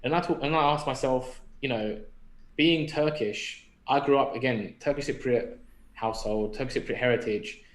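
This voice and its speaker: An echoey room surrounds this young man with a London accent. He talks at a middle pitch in a casual podcast style, thinking aloud and often putting questions to himself. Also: normal speed. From London, England. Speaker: podcast speaker. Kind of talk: casual reflective podcast chat. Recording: roomy echo on the voice.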